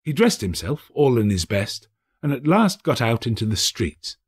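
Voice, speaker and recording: Expressive husky male storyteller. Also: mid-range voice. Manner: theatrical, measured storytelling. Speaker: actor, audiobook narrator. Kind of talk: expressive literary narration.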